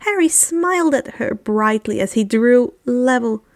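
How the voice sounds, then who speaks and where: A woman reading fan fiction aloud as narrator in an expressive storybook style, sometimes tripping over and rereading phrases. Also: fairly high pitch, a little slow, dry recording, clean studio sound.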